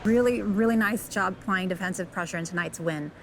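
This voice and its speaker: An actress playing a brisk, attentive female sports reporter, asking fluent and polite post-game questions in a video game. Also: medium pitch, lightly acted. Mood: inquiring. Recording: noisy background ambience.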